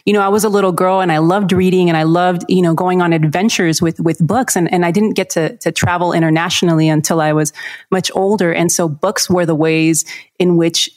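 A young adult woman hosts a podcast interview in a fairly low voice. She is warm and affirming, often restarting her phrases and using fillers as she talks. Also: steady tone, a little fast. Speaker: podcast host. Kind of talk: conversational interview.

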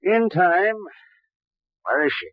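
An actor playing a suspicious, gruff small-town lawman with folksy, blunt questioning in a 1940s radio drama. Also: high voice, expressive, slow, no echo. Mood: suspicious, demanding. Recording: dull, lo-fi old radio recording.

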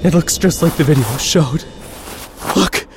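A stubborn male space traveler near death in a sci-fi radio play. His high voice is highly animated, impassioned and defiant, with a slowish delivery over prominent music. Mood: excited. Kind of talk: science fiction audio drama acting. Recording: loud music and vehicle rumble behind.

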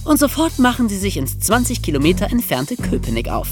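A man's voice: high voice, big pitch swings, brisk, over music. A man co-hosting a podcast, telling and explaining a historical story from a script. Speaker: podcast co-host.

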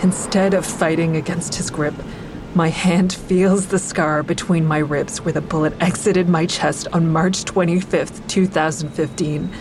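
An actress playing a bitter, grieving mother who is cold and unforgiving toward her ex-husband, in an audio drama with intense, deliberate delivery. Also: fairly low pitch, steady tone, close to an everyday voice. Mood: somber narrating. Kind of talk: full-cast audio drama, first-person narration and dialogue. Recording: clearly noisy outdoor traffic ambience.

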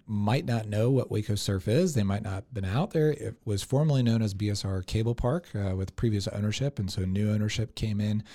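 A man's voice: on the low side, a little fast, few pauses, warm tone. A man speaking as an interview guest in a casual, upbeat, promotional way. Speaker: interview guest, sports commission executive director.

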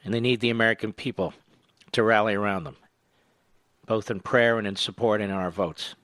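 A man hosting a talk radio show, emphatic and animated, introducing callers. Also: lowish voice, brisk, no echo. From Philadelphia.

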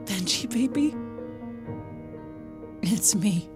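An actress playing a loving, grieving young mother in an audio drama, speaking haltingly and tenderly. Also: mid-range voice, expressive, loud music behind the voice. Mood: tender, sorrowful. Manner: halting, tender, emotionally weighted. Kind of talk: intimate audio drama monologue and narration.

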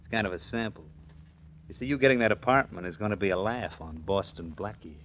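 A man's voice: fairly low pitch, expressive, fast, lightly acted. A man acting a cocky, boastful killer and gangster boyfriend in slangy, swaggering 1940s radio style. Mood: gloating. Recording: muffled, noisy vintage 1940s radio recording.

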